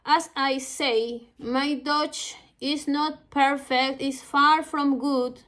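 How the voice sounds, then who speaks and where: A woman with a Spanish accent, a native Spanish speaker, giving a casual introduction to her language lessons with many pauses and fillers. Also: high-pitched, fairly even intonation, a bit bright. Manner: halting, many fillers and restarts. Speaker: Dutch language teacher.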